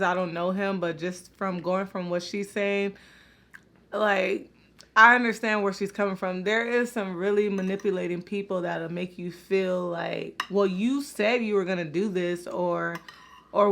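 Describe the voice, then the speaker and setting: A woman's voice: mid-range voice, creaky, fairly bright tone. A woman hosting a casual reaction video, talking straight to her viewers and filling her speech with "like" and "you know". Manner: chatty, many fillers like "like" and "you know".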